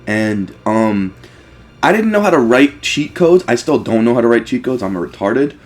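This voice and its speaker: A man giving casual, rambling, profanity-laced gaming commentary with excitable fan talk. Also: medium pitch, moderate pace. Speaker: let's play host.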